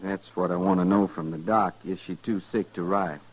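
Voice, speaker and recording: Low, unflappable scout. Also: male voice, fairly even intonation. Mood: earnest, concerned. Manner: folksy, plain-spoken, unhurried. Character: small, self-possessed Army scout, young husband, unflappable. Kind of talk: 1950s western radio drama acting. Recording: muffled 1950s radio recording.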